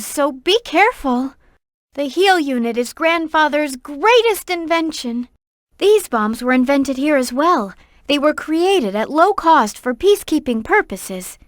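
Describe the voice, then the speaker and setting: A woman voicing a gentle, earnest young girl in a video game, caring and polite in the way she talks. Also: high-pitched, dry recording, studio quality. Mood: earnest, concerned.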